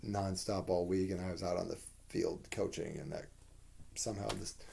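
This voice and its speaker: A man with a hoarse voice giving a rambling, candid spoken TV review, with plenty of fillers and the odd curse. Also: low voice, fairly even intonation, moderate pace, dark tone, slight background noise.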